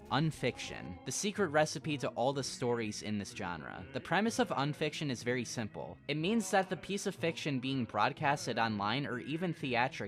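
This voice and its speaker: A man reading scripted video essay narration in an explanatory, measured way. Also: medium pitch, fairly bright tone. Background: background music.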